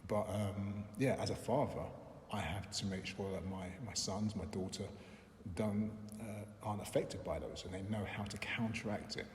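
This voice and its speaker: Low-voiced man in an echoey hall. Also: fairly even intonation. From London, England. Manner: thoughtful, measured, frequent "um" and restarts. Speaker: interviewee, filmmaker and lecturer. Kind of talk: reflective interview answers. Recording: roomy echo with long reverb, faint background noise.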